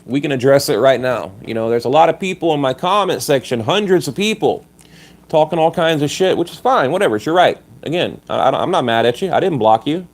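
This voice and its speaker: Male livestream host, congested and a bit hoarse, blunt and combative, casual rant into a phone. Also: on the high side, lively intonation, a little fast. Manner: blunt and combative, with frequent restarts. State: stuffed up and a little hoarse. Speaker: livestream host reading viewer comments.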